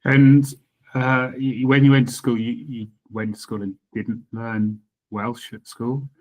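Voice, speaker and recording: A man interviewing a guest, asking questions with halting, stumbling starts. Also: medium pitch, little pitch movement, darkish tone.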